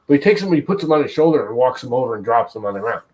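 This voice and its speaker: A man's voice: mid-range voice, animated, racing, a little mellow, poor audio. A man streaming and chatting casually while preparing a 3D print, rambling and swearing as he tells stories.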